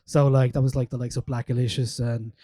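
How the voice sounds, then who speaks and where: A man's voice: medium pitch, flat delivery, fast, mellow. A man hosting a casual chat at a live album listening event, chatty and full of fillers. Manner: chatty, lots of "like" fillers, reads quotes aloud. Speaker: host.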